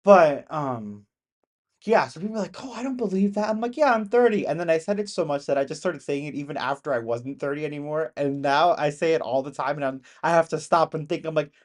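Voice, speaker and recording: Rambly streamer in their 30s, laughs a lot, mid pitch, chatting from home out in the country. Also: expressive, fairly quick. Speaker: live streamer. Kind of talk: casual live-stream chat with viewers.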